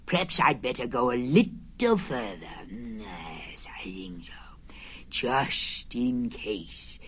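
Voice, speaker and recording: A woman voicing a slow, honey-loving male teddy bear, talking low and dreamy and sometimes sinking to a whisper. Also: very animated, slightly rough, exaggerated voice. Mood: musing.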